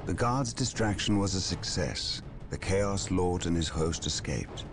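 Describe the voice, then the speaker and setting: An actor playing a calm, wise male battle-priest advisor who speaks in a grave, counselling way, in a video game cutscene. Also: low voice, unhurried, background noise. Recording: voice over orchestral game score.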